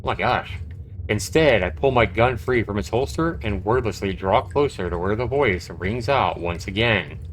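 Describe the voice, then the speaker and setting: A man's voice: on the low side, expressive, moderate pace, slight background noise, rough recording. A man live-streaming a game, reading its text aloud and adding casual, reactive commentary. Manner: reactive, conversational.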